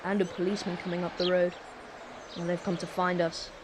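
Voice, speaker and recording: A woman's voice: fairly low pitch, flat delivery, a little fast, background noise, lightly acted. A woman with an English accent playing an earnest, plucky ten-year-old evacuee boy in a wartime radio drama, with a childlike way of talking. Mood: hushed, wary.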